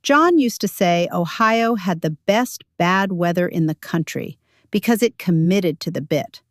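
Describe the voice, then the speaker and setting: A woman's voice: lowish voice, lively intonation, unhurried, no room sound. A woman narrating a scripted first-person story in a dry, wry manner.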